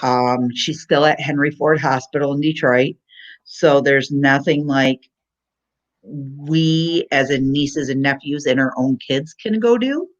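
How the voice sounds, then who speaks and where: This is a casual livestream host in relaxed conversation with friends. The voice sits at a middling pitch, and the sound is muffled and lo-fi. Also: takes it slowly. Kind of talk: casual chat about family updates. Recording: muffled lo-fi voice-chat audio.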